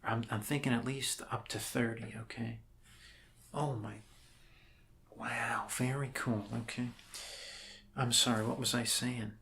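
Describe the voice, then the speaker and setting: A man's voice: mid-range voice, steady tone, pushed and bright. Man playing a rambling, reassuring doctor, noisy background. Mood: friendly explaining. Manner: halting, casual, reassuring, with repeated words. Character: friendly, rambling male doctor welcoming an alien patient. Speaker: ASMR role-play performer playing a doctor. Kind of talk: improvised ASMR role-play.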